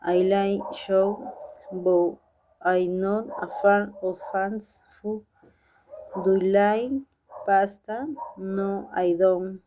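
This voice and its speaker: A young woman from Peru with a Peruvian Spanish accent, a Spanish speaker and language student, reading a practice dialogue aloud haltingly. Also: medium pitch, level tone, noisy background. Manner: halting, reads haltingly with restarts.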